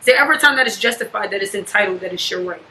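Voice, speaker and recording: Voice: mid-range voice, sharp tone. A podcast host in their twenties who leads the discussion and keeps asking the others questions in a casual chat. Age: 20s. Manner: fast-talking, leads the questions. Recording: roomy echo with faint background hiss.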